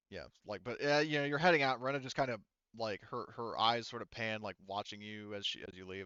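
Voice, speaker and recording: A man runs a tabletop game in a smooth, clean voice. He narrates off the cuff, rambling and backtracking in a casual way. The recording is dry and a little dull. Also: lowish voice, sharp tone, dry recording. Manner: halting, rambling, self-correcting, casual. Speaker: game master.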